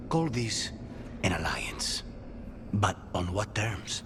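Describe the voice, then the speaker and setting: A man's voice: on the low side, very animated, takes it slowly, background noise. A man playing a troubled, intense assassin in a video game, speaking with a Spanish accent. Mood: resolved. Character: troubled male assassin sharing his mind with a demon. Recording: game music behind.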